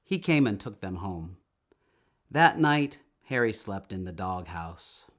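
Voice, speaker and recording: A man reading a children's story aloud in an expressive, emphatic storytelling manner, drawing out words. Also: low voice, takes it slowly. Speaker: storytime reader.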